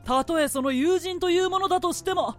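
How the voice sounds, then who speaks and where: A woman's voice: extremely high pitch, fairly quick. A woman playing a pompous, shrewd great merchant, male, with curt commanding delivery in a theatrical radio drama. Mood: haughty. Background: music.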